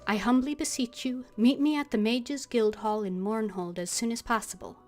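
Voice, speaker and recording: A woman streaming a game, reading dialogue and notes aloud in character with measured expression. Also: fairly high pitch, darkish tone. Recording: faint music behind the voice.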